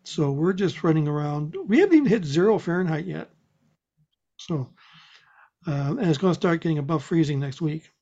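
A man's voice: a little high, expressive, moderate pace, mellow, dull audio. A man presenting a radio show in casual group conversation, with a dry, joking way of talking.

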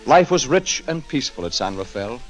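Animated male old-time radio narrator. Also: medium pitch, brisk, soft background music, rough recording. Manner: solemn, declamatory. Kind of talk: dramatic scripted 1940s radio narration. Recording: 1940s radio broadcast recording.

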